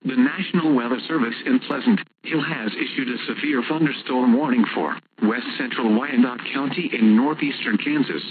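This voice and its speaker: A man's synthetic voice reading a formal emergency weather bulletin in a measured, impersonal way over the radio. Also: medium pitch. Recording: noisy, narrow-band weather radio broadcast.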